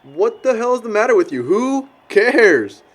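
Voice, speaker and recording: A man's voice: sits high, sing-song, a little slow, full character voice. A young American man acting as a crude, dim, defensive slacker in film dialogue. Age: young adult. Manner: blunt, defensive. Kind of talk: naturalistic amateur film dialogue.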